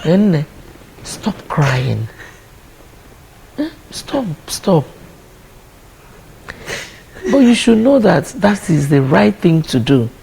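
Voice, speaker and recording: A woman's voice: lowish voice, very animated, very slow, vocal fry, pauses often, mellow, slight background noise, full character voice, poor audio. An actress playing a mother firmly soothing her crying daughter. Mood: coaxing. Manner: firm, coaxing.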